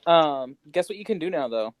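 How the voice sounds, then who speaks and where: Man, fairly high voice, casual banter, irreverent, swears, very dry recording. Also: lively intonation, normal speed, no echo. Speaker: podcast co-host. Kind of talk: casual bantering podcast chat.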